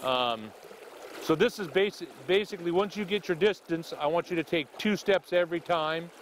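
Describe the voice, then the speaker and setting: A man's voice: fairly high pitch, moderate pace, crowd noise. Patient middle-aged man guiding on a river. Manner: patient, explains step by step, checks in with "okay?". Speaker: fishing guide and instructor. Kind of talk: on-the-spot fly-casting instruction. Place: outdoors, wading in a river.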